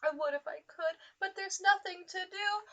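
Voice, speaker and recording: A woman voicing a big, gentle, easily frightened male elephant in an expressive storybook reading. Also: very high-pitched, normal speed, dull audio, pitched above the performer's own voice. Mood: sad, apologetic. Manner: exaggerated, expressive storybook delivery.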